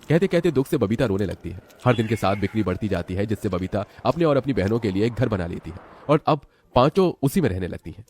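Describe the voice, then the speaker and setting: A man's voice: on the low side, big pitch swings, fast talker, hardly any pauses, a little mellow, slight background noise. A man narrating a scripted children's moral story in a dramatic way.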